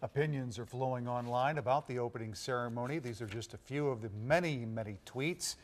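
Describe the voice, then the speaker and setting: A man's voice: medium pitch, a little fast, a little hiss or hum. A middle-aged American man presenting the news as a TV anchor in a studio.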